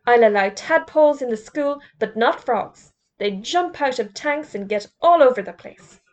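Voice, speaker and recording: A pompous middle-aged headteacher, fussy and disapproving, laying down the rules in a loud, raised voice, as a woman reading aloud puts him on. He blusters and sounds easily ruffled in this storybook scene. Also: fairly high pitch, expressive, normal speed, lightly acted.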